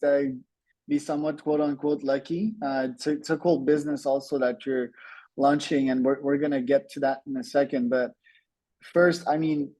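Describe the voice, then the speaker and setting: A man's voice: medium pitch, dark tone, rough recording. A thirty-year-old man hosting a podcast, introducing the show and chatting conversationally with his guest. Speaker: podcast host.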